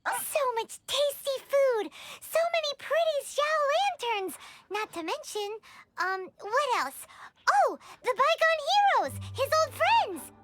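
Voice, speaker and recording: A woman voicing a tiny, childlike fairy companion in a video game, squeaky, bubbly and exclamatory, eager and fond of food. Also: very animated, slow, a bit bright, dry recording, heavily acted. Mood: excited. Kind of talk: animated video game dialogue. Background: music.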